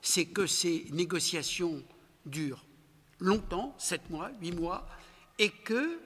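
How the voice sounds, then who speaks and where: A man moderating a panel discussion, explaining in a measured way with some fillers. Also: high-pitched, sing-song, fairly bright tone. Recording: reverberant room.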